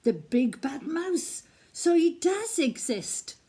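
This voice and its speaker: A woman voicing the Gruffalo's child, a young female monster, sounding bold and curious in a storybook reading. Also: high voice, big pitch swings, slow, warm tone, pitched above the performer's own voice, heavily acted. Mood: awed. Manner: bold, curious, wide-eyed.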